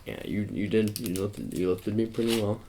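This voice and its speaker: A man doing soft-spoken ASMR roleplay as a shady sports agent, talking in a halting, casual, coaxing way and repeating his phrases. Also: fairly low pitch, a little hiss or hum.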